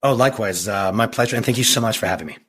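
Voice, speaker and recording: Hesitant low-voiced male guest. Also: rapid-fire. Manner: hesitant, many fillers.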